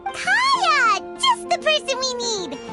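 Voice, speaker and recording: Squeaky, excitable little fairy companion. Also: female voice, measured pace, over loud music, full character voice. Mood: eager, curious. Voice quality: squeaky, childlike. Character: tiny excitable childlike female fairy companion, nosy and chirpy. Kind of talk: animated game voice acting.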